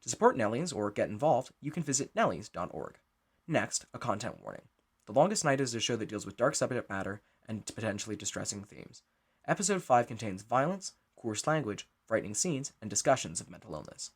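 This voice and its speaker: Fast, lively man with husky voice. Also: fairly low pitch. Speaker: writer and director introducing the show. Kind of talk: scripted introduction and announcement.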